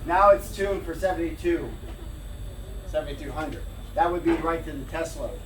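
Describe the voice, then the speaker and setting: Man, deacon, tour guide; halting explainer, mic far off in a noisy, echoey room. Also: fairly high pitch, fairly even intonation. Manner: halting, restarts mid-phrase while explaining. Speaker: deacon giving a station tour. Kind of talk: casual technical explanation. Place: indoor transmitter room with machinery. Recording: echoey room, distant mic, equipment noise.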